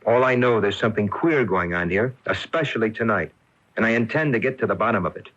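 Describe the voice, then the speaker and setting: Dull-sounding AM radio tape of a mystery drama. A low, husky man plays a suspicious fiancé, blunt and insistent, determined to get answers. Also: expressive, fast talker, fairly bright tone. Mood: demanding, determined. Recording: muffled 1980 AM radio broadcast tape.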